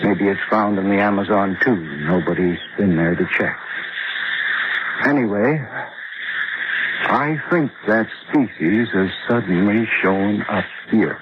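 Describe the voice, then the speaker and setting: An actor playing a grave, knowing doctor at a jungle outpost in an old radio horror drama, explaining in a measured, serious way. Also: male voice, lowish voice. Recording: noisy, muffled old radio drama recording.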